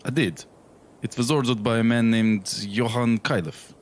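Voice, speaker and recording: An actor in an audio drama playing a blunt cargo agent, curt and matter-of-fact. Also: male voice, mid-range voice, unhurried, natural voice.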